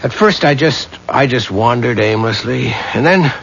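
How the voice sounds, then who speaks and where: An actor playing an intense, embittered young religious student, fervent and theatrical, in a 1970s radio drama. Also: male voice, mid-range voice, expressive, measured pace, vocal fry, a bit bright, a little hiss or hum. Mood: awed, fervent. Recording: dull, muffled 1970s radio recording.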